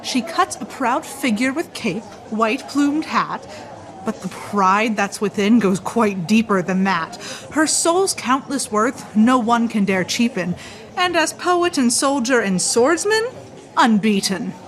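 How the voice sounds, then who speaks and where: A woman's voice: on the high side, lively intonation, takes it slowly, low-quality recording. An actress playing a gossipy, dramatic, loyal theatre-going woman in a radio play, declaiming rhymed verse rhythmically. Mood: admiring.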